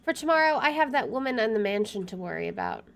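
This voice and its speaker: High-voiced, chatty young woman. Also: expressive, fast, creaky. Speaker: game streamer. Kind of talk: casual chat and reading visual novel text aloud.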